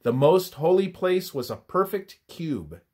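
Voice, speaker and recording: A man reading a scripted religious study in a measured, instructive manner, his phrases often trailing off softly at the ends. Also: fairly high pitch, sing-song, slightly rough.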